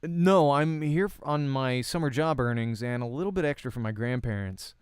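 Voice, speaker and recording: A man playing a nervous, awkward young amateur gamer in a visual novel, speaking in a hesitant, halting way. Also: mid-range voice, clean tone, no echo, clean studio sound, close to an everyday voice. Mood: sheepish.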